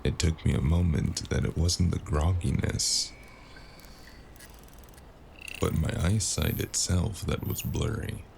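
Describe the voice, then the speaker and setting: A man's voice: deep voice, steady tone, a little slow, creaky, darkish tone, a little hiss or hum, exaggerated voice. A man playing a battered, sardonic, world-weary investigator in a cyberpunk noir audio drama. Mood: weary narrating.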